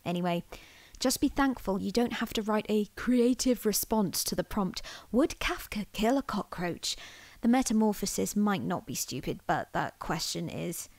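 A woman's voice: medium pitch, expressive, moderate pace, a little mellow, dry recording, lightly acted. A woman playing an anxious, melodramatic young student in an audio drama, prickly, squeamish and sarcastic. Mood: bookish, wry.

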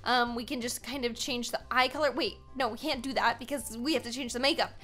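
A young American woman giving casual gaming commentary as host, chatty and expressive, given to exclaiming. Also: a little high, a little fast, faint music behind. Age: young adult.

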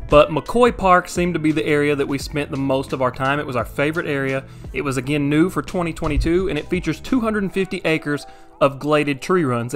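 A man's voice: medium pitch, a bit bright. A man in his thirties giving a casual, enthusiastic, conversational voice-over review as a vlog host. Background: background music.

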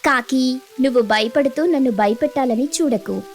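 A high-voiced mother sparrow from a cartoon fable, acted by a woman. She is stubborn and sure of herself, speaking firmly and expressively over background music. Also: moderate pace. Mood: stubborn, dismissive. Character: stubborn, confident mother sparrow protecting her chicks.

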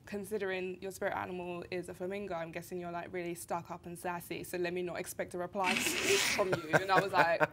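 A woman's voice: fairly low pitch, brisk, hardly any pauses, a crowd in the background. A young woman, telling dating stories in a casual panel chat and acting out what people said. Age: young adult. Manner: animated storyteller acting out quotes. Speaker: panel guest. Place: small studio with grey backdrop.

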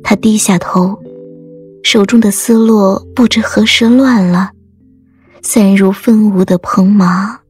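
A woman's voice: medium pitch, fairly even intonation, slow, darkish tone, breathy edge, faint music behind. A woman giving a scripted, dramatic reading of an audiobook. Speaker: audiobook performer.

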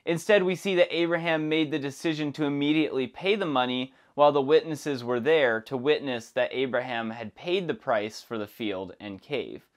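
A young man presenting a scripted Bible lesson in a measured, even reading voice, recorded on a lapel mic in a dark studio. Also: mid-range voice. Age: young adult. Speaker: Bible teacher. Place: dark studio with plants behind.

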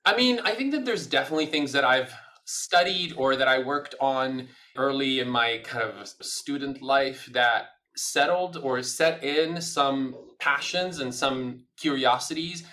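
Casting: middle-aged Italian-born man, native Italian, bright voice, talks in long winding answers. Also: medium pitch. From Italy. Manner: thoughtful, discursive, many fillers. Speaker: podcast guest. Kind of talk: casual interview answers.

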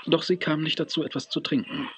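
A man's voice: a little high, quick pace, background noise, close to an everyday voice. A man acting as the storyteller of a children's adventure audio drama, reading scripted narration. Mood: narrating.

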